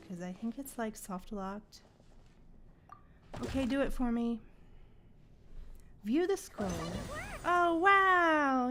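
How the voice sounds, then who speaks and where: A woman's voice: sits high, fairly bright tone. A woman livestreamer giving casual, chatty gameplay commentary and reacting aloud to the game. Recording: game music behind.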